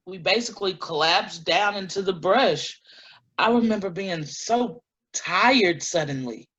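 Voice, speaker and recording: An actress playing a shaken, earnest woman giving deliberate testimony in a dramatic staged reading, on a lo-fi recording. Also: on the low side, animated, fairly bright tone, husky, full character voice. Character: shaken, earnest woman recounting an eerie night in woods.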